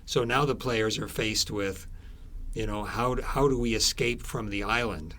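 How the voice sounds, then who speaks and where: A man with a fairly low voice talks as a guest author in an interview. He tells stories conversationally, often repeating words and drifting into asides before finishing a thought. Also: normal speed, a bit bright.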